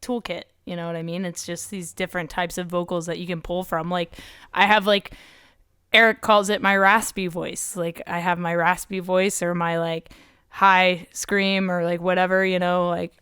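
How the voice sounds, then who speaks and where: Woman podcast host and metal singer, crisp resonant voice, dry close sound, encouraging casual chat. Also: lowish voice, little pitch movement, normal speed, a bit bright, no room sound. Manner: encouraging, chatty with filler phrases.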